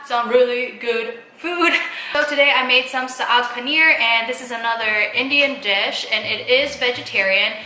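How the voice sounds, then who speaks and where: A woman's voice: a little high, normal speed, bright, forceful tone, echoey room, slight background noise, poor audio. A young woman talking casually to her viewers while eating, chatty, laughing often and using plenty of fillers. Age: young adult. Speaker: mukbang vlogger.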